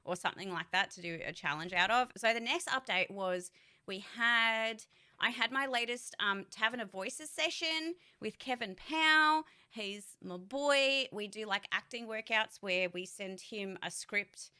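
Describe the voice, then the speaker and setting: Frank, bright-voiced woman vlogging. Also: fairly high pitch, measured pace, clear, resonant tone. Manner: candid, rambling, swears freely. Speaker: voice actor and streamer. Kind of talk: casual monthly vlog update.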